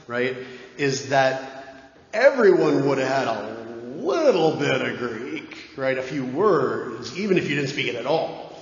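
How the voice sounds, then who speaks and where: A man's voice: mid-range voice, sing-song, a little slow. A man, a parish priest, answering questions in casual talk, often checking in with his listeners. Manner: conversational, keeps checking in with "right?". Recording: echoey dull church hall recording.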